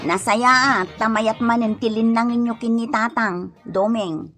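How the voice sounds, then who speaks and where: Casting: nagging wife of a gambler, high-pitched and exasperated, radio comedy. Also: female voice, unhurried, a bit bright, faint music behind. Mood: mildly reproving. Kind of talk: Ilocano comedy radio drama acting.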